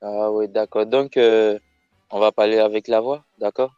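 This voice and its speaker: A man's voice: on the low side, steady tone, normal speed, dark tone, clean tone, no echo, muffled recording, soft background music. A man scamming over the phone, answering in short, repetitive replies. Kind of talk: phone call.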